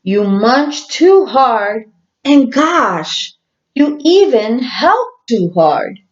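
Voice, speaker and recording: A woman voicing a kind, patient female teacher in a storybook, gentle and coaxing. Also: on the high side, big pitch swings, extremely slow, dull audio, heavily acted.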